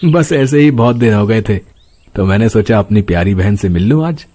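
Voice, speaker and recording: A man's voice: lowish voice, lively intonation, normal speed, warm tone. A man voicing an affectionate, easygoing city brother in a cartoon story. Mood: cheerful. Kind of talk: Hindi cartoon character acting.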